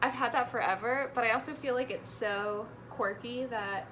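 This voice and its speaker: A woman's voice: fairly high pitch, moderate pace, fairly bright tone, slight background noise, poor audio. A woman speaking as a guest on a book vlog, chatting in an informal, tentative way. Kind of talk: casual book chat.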